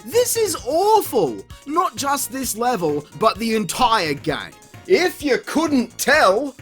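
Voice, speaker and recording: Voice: mid-range voice, huge pitch swings, takes it slowly, fairly bright tone, faint music behind. A game reviewer narrating a scripted comedic review in an exasperated, sarcastic, sweary ranting manner. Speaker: video game reviewer, channel host.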